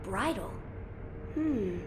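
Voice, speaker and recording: A woman voicing a gentle, earnest young boy lord in a game cutscene, formal and pensive in manner. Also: fairly high pitch, expressive, very slow, noisy background. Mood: pensive, curious. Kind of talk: dramatic game cutscene acting.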